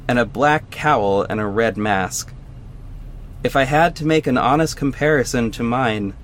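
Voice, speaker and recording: A man's voice: medium pitch, a little hiss or hum, close to an everyday voice. A man playing a vigilante hero, narrating his own story in scripted first person for an audio drama.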